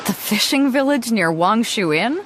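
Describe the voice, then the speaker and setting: A woman's voice: on the high side, expressive, moderate pace, a little hiss or hum, close to an everyday voice. An actress voicing a bold, teasing, confident female ship captain in a game cutscene. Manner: teasing, self-assured, laughs easily.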